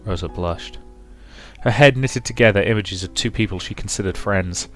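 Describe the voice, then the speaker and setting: A man narrating a visual novel aloud in an expressive storytelling style as a let's player. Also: lowish voice, moderate pace, faint music behind.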